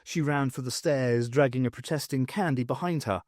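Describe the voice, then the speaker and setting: A man narrating scripted fiction for an audiobook in an expressive, theatrical storytelling manner. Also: mid-range voice, normal speed, no room sound, clean studio sound.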